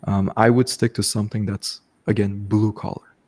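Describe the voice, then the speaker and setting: Give me a man with a deep, warm voice hosting a casual live Q&A. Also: flat delivery, moderate pace. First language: French. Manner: casual, repeats "okay" and "bro", frequent restarts. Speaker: host coaching online business. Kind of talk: live stream Q&A, casual advice.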